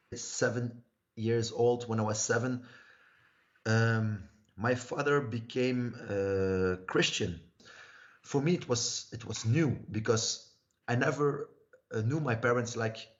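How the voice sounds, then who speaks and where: A man in his thirties from Belgium, telling his life story as a livestream guest in a halting way, searching for words. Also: medium pitch. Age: 30s. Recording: muffled lo-fi livestream audio.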